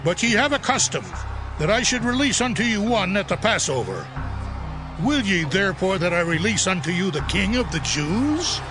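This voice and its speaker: Pilate, a questioning Roman governor. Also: male voice, sits high, very animated, normal speed. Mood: pleading. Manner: formal, questioning, old-fashioned phrasing. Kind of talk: dramatized scripture reading. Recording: audio drama with music bed.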